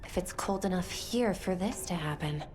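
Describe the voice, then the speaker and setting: A woman playing a confident, steady hunter in a video game, calm, concise and decisive. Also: fairly low pitch, normal speed, over music, lightly acted. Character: confident, steady female hunter leading a team. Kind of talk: video game character acting.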